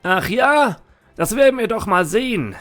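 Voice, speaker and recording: Man acting a defiant, higher-voiced young card duelist. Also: expressive, measured pace, a bit bright, faint music behind. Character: defiant, confident young male dragon-deck card duelist. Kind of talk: anime fan audio drama.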